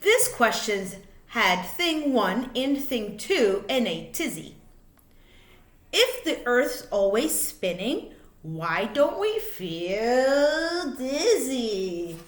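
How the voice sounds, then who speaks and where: A woman reading a children's picture book aloud like a teacher, in an expressive, sing-song way with drawn-out words. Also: a little high. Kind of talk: read-aloud children's storytelling. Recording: echoey room.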